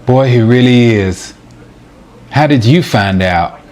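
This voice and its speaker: A man's voice: mid-range voice, lively intonation, slow, a little mellow. A man playing a flustered, naive guy caught two-timing on a date, in a comedic dramatization. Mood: earnest. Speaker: actor in a sitcom-style dramatization. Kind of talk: acted comedic date dialogue.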